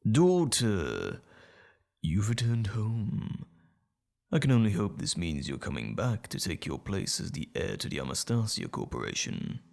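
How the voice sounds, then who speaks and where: A man's voice: fairly low pitch, sing-song, unhurried, vocal fry, darkish tone, full character voice. A man playing a stern, domineering middle-aged elf patriarch in a fantasy audio drama, haughty, commanding and theatrical. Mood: pompous.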